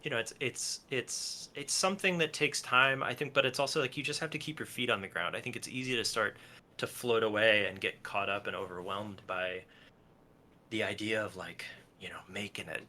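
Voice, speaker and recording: A man talking casually as an interview guest, thinking out loud in a halting, rambling way with repeated false starts. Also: on the low side, fairly even intonation. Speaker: guest musician and film and TV composer.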